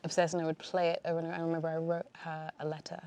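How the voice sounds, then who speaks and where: A woman songwriter at a roundtable who shares memories in a warm, earnest way. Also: low voice, very fast. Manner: warm and earnest, animated when recalling. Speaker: songwriter guest. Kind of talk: casual chat.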